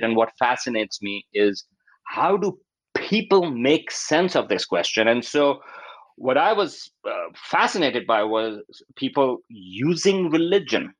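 A man's voice: mid-range voice, a bit bright, rough recording. A man in his fifties with a Pakistani accent, an academic explaining research in an interview and often acting out quotes as he goes. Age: 50s.

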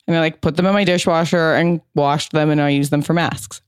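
A woman hosting a casual interview, relaxed, laughing easily and telling animated anecdotes. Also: sits low, brisk, clear, resonant tone, no room sound, clean studio sound.

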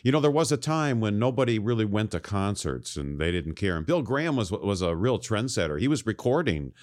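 Guy about to turn 70 hosting a podcast, kinda low voice, laid back, lots of uh's. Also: fairly quick. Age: 60s. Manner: relaxed, easy laughs, filler-heavy. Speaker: podcast host. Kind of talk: casual chat.

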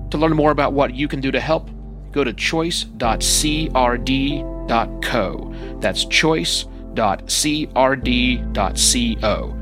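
A middle-aged man with an American accent, hosting a podcast and speaking to listeners with emphatic stress and repeated words. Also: medium pitch. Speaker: podcast host.

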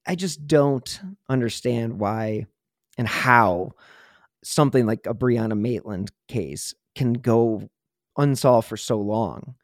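Man, podcast host, medium pitch, emphatic, dryly sarcastic, conversational true-crime interview style, dry room-free sound. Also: takes it slowly, no room sound.

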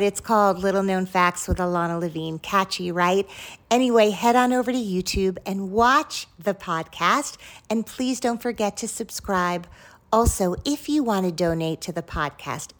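A woman hosting a podcast in a chatty, effusive and appreciative way. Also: medium pitch. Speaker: podcast host. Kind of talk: warm-worded interview chat and show sign-off.

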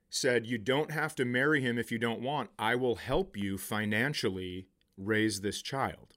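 Middle-aged man, mid-range pitch, comedian podcast host, casual storytelling, sweary, acts out quotes. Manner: profane, animated retelling with acted-out quotes.

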